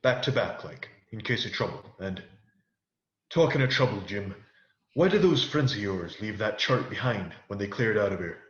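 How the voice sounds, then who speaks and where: An actor playing a wily, persuasive pirate leader in a radio play, talking in rustic old-sailor dialect. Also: male voice, on the low side, expressive, moderate pace, lightly acted. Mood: confiding. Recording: muffled, echoey audio under loud music.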